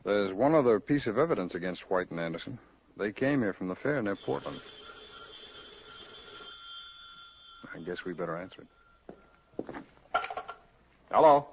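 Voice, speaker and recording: An actor playing a businesslike, courteous but firm investigator in a 1940s radio drama, speaking in a formal, matter-of-fact way. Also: male voice, on the low side, a little mellow, slight background noise. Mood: brisk, factual. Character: businesslike male lawman, firm, methodical, courteous interrogator. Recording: muffled, husky old radio recording.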